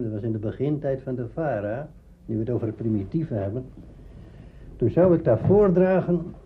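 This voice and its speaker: An older man, an interviewee telling anecdotes the past in a chatty, repetitive way. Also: medium pitch. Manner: chatty storyteller, repeats himself. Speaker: interviewee, veteran radio actor. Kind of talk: anecdotal reminiscence. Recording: tinny narrow-band archive recording, faint noise.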